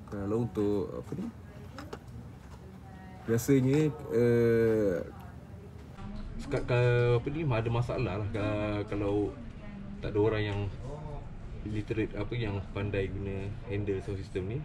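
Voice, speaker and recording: A Malaysian man with a fairly low voice talks through technical points in a casual way. He hesitates often and searches for words as he explains. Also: fairly even intonation, unhurried, pauses often. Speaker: audio specialist. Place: small cluttered office, indoors.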